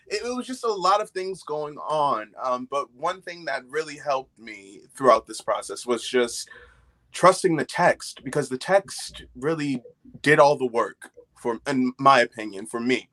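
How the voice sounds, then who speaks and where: A man in his twenties giving a candid interview answer over a video call, reflective and pausing mid-thought. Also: on the high side, bright, forceful tone. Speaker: actor. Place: small indoor room at home.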